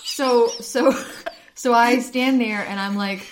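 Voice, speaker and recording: A woman's voice: a little high, steady tone, slow pace, background noise. A woman hosting a podcast in casual chat, asking playful, probing questions. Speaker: podcast host.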